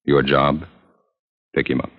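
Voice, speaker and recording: A man's voice: a bass voice, little pitch movement, unhurried. A man performing as a stern American 1950s radio announcer, clipped and grave. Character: stern, solemn male radio announcer setting up a case. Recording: muffled old radio, loud music behind. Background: loud music.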